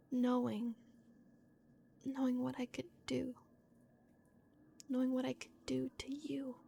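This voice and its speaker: A woman voicing a gentle, remorseful vampire guardian in a breathy, hushed whisper, as an ASMR roleplay. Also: a little high, a little hiss or hum. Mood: anxious, hesitant. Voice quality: breathy, whispered with creaky edges. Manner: slow, hushed, hesitant pauses. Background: dark castle ambience.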